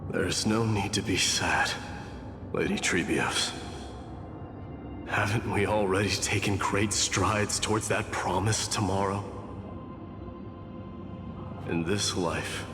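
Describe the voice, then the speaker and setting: A proud warrior demigod from a game, resolute and ready for sacrifice. His low voice moves slowly and solemnly, with a declamatory weight, ringing through a long reverb. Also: steady tone. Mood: gentle, solemn. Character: stoic, proud, self-sacrificing male warrior demigod of strife. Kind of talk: dramatic game voice acting. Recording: echoey reverberant hall, music behind.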